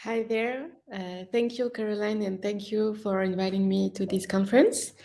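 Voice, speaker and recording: Woman, from France, warm mellow tone, earnest, halting, video call. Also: mid-range voice, steady tone, vocal fry. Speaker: social enterprise founder. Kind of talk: panel self-introduction.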